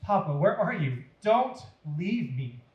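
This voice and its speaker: A man's voice: sits high, big pitch swings, slow pace, darkish tone, muffled recording, pitched above the performer's own voice, full character voice. A man voicing an anguished, forgiving Jesus on the cross in a children's storybook reading. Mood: anguished pleading. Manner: pleading, anguished. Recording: roomy echo.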